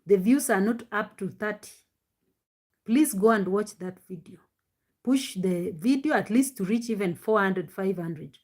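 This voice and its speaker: Livestream host: a woman with a deep voice who pushes it loud and strained, chatting casually, no external mic. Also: unhurried. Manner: talks loudly and with strain. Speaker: live-stream reaction host. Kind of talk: casual live-stream chat with viewers. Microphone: no external microphone, device mic.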